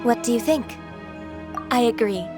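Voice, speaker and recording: Woman voicing soft-mannered earnest game girl, half immortal, highish voice, polite, music behind. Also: measured pace, lightly acted. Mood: gentle, formal. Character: gentle, earnest young female half-immortal trainee. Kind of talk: video game voice acting.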